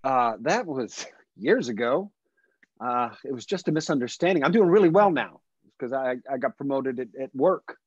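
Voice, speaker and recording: A man's voice: mid-range voice, lively intonation, normal speed, slightly rough, dry recording. Tense, defensive man, dull audio. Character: tense, defensive grown son eager to impress. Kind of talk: screenplay table read over video call. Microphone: computer mic on video call. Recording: dull video-call audio.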